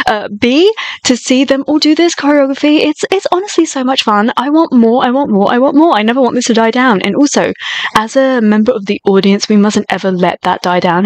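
A woman's voice: medium pitch, no room sound. A young woman reacting to videos in a casual vlog, a little nasal from a cold, gushing and laughing often. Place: small room indoors.

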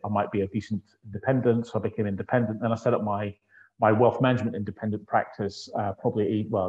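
A Scottish man in his fifties from the northeast of Scotland, chatting as a guest over a video call with an earphone mic and telling his story in a relaxed, anecdotal way. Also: fairly low pitch. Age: 50s. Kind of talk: conversational interview. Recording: dull lo-fi video call audio.

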